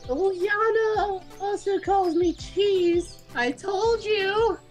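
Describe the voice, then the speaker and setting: A performer voicing a chatty, upbeat young man in a visual novel, with a cartoonish, drawn-out delivery. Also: very high voice, exaggerated voice. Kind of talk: amateur visual novel character dubbing. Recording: music behind the voice.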